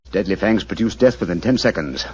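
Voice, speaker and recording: An actor playing a brilliant, cool-headed detective in a radio drama, speaking in a crisp, precise, authoritative manner. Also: male voice, low voice, lively intonation, quick pace. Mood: calm, explaining. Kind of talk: 1940s radio acting. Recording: dull old radio broadcast.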